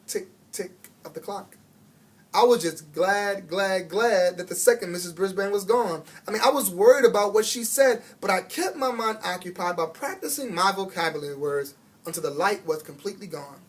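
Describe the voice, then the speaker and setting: A man with an American accent reading a children's book aloud in an expressive, dramatic storybook style. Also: sits high.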